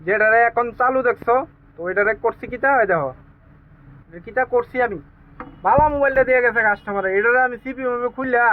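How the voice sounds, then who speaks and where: A man with a strikingly high voice and a Tripura Bengali accent talks at a quick clip. He explains repair work informally, as a hands-on technician, repeating his assurances with emphasis. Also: phone-quality sound.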